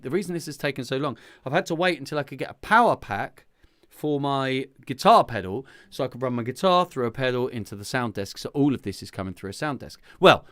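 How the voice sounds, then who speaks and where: Bright-voiced, chatty man hosting a stream. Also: medium pitch. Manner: chatty, rambling, self-deprecating, jokey. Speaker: singing group leader and livestream host. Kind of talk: casual livestream chat to viewers. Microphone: talking microphone beside a separate vocal mic.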